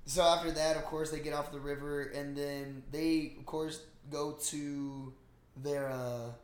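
A man co-hosting a casual podcast, retelling a film in slangy, swear-heavy, animated talk. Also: fairly high pitch, measured pace. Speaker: podcast co-host. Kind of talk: casual movie recap chat. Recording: roomy, echoey sound.

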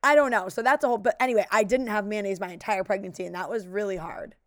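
A young woman with a raspy voice, co-hosting a podcast in casual, chatty talk full of fillers. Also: mid-range voice, quick pace, no room sound, clean studio sound. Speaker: podcast co-host. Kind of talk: casual chat.

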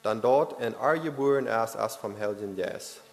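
A man giving a Christmas sermon, reading verses and explaining them haltingly, with frequent hesitations. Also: fairly low pitch, vocal fry. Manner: halting, with many restarts and hesitations. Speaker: lay preacher. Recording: long reverb of a hall.

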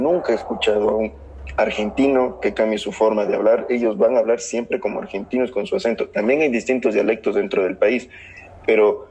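A man's voice: fairly low pitch, darkish tone, breathy edge, rough recording. A young man from Ecuador with a southern Ecuadorian Spanish accent, a guest in a casual podcast conversation who explains earnestly with many examples. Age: young adult. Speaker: guest economist.

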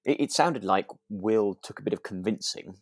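A man with a low voice, recorded dry with little room sound. He co-hosts a relaxed conversation, feeling his way through sentences haltingly and sounding earnest. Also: husky, dry recording. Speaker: podcast co-host. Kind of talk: relaxed casual chat about a radio drama.

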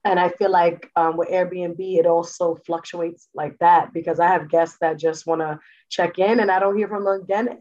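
An outgoing woman from the Bronx chats easily as a podcast guest. Her voice sits a little low. The audio is dull and lo-fi, as if squeezed through a cheap line. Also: darkish tone. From the Bronx, New York. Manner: outgoing, chatty. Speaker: guest, Airbnb superhost. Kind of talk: casual podcast chat.

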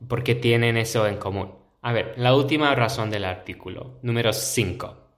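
A young American man, a native English speaker, hosting a solo educational podcast and explaining his points in a measured, reflective way. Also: mid-range voice, a bit bright. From United States. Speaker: podcast host.

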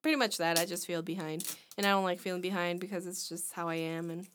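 A woman in her twenties in casual chat on a podcast, talking in a rambling, halting way with many restarts. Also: lowish voice, steady tone, a bit bright, slight background noise. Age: 20s.

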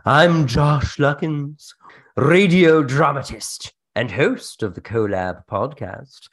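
A man's voice: medium pitch, creaky, breathy edge, full character voice. A man playing a spooky, theatrical Halloween radio dramatist, drawing out his words for a podcast sign-off. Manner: theatrical, drawn-out delivery.

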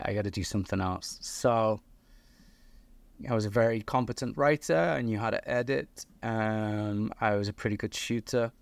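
A man's voice: lowish voice, dry recording. A middle-aged man from the north of England with a Liverpool accent, a podcast guest telling his story casually with fillers and restarts. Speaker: guest, creative director of a video company.

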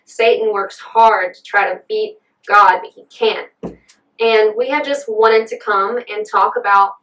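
A woman's voice: medium pitch, steady tone, a little slow, a bit bright, roomy sound, distant mic, poor audio. A woman in her twenties co-hosting a casual faith podcast, earnest and bubbly, laughing often. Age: 20s. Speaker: podcast co-host.

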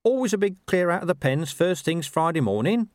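Man putting on a high, cynical little talking dog. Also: animated, a little fast, darkish tone, no room sound, studio quality. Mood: sardonic, nosy. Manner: chatty, sardonic, streetwise colloquial talk.

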